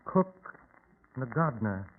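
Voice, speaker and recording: An actor playing a polite, anxious gentleman of a manor, formal and hesitant, in an old-time radio drama. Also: mid-range voice, very animated, measured pace, slight background noise. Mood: guarded, hesitant. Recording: tinny, phone-like old radio recording.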